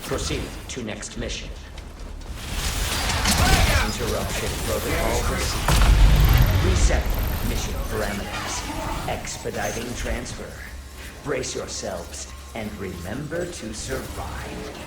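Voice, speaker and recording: A cold, clinical game AI, speaking slowly in a low register with swooping emphasis. The formal, commanding voice echoes from a distance under loud music. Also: male voice, animated. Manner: formal, deliberate, clinical machine-like delivery. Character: calm, cold male artificial intelligence running deadly combat tests. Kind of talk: video game AI announcer.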